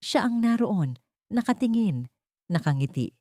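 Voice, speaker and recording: A woman's voice: mid-range voice, sing-song, unhurried, a little mellow, dry recording, clean studio sound. A woman reading a scripted first-person suspense story as its narrator.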